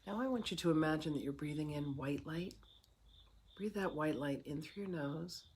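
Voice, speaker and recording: A guided meditation leader speaks slowly and soothingly, leaving long pauses between phrases. The voice sits at a middle pitch. Clear background noise with chirping insects runs behind it. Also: a little mellow.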